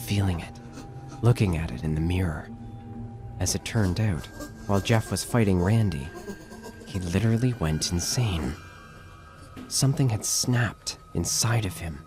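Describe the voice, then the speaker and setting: A man's voice: sits low, a little mellow. A man giving scripted narration of a horror story as the narrator of an audio drama. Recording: music bed under narration.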